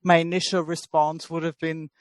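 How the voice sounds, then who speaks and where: A woman's voice: sits low, flat delivery, moderate pace, no room sound. Woman from Austria, enthusiastic webinar question, dry sound. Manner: enthusiastic, chatty, self-mocking. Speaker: participant asking a question.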